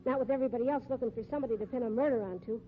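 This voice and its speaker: A woman's voice: fairly high pitch, rapid-fire, lightly acted. An actress playing a bold, shrewd circus sideshow woman in a 1950s radio mystery, scornful and slangy. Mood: defiant, bargaining. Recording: muffled, noisy old radio recording.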